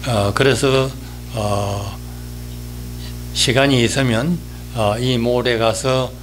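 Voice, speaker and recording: Male columnist, 80s, mid-pitched, very slow and halting delivery of a reflective spoken column. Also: fairly even intonation, soft background music. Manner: halting, hesitant, with frequent drawn-out fillers. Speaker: newspaper-style columnist giving a talk. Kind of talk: reminiscing monologue column. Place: indoors, small office or studio, seated.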